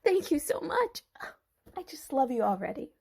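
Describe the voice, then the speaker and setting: A woman's voice: high-pitched, very animated, moderate pace, creaky, a little mellow, a little hiss or hum, voice pushed up, full character voice. A woman playing an anxious, manipulative young bride in a Bible story dramatization, pleading and coaxing dramatically. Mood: gushing.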